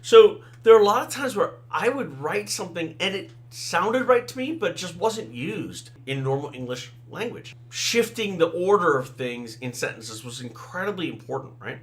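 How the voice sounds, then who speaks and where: A man's voice: high voice, expressive, moderate pace, few pauses. A middle-aged man whose first language is Tamil, hosting a casual solo talk about writing and editing, with frequent fillers and "right?" asides. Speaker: podcast host, author and game designer.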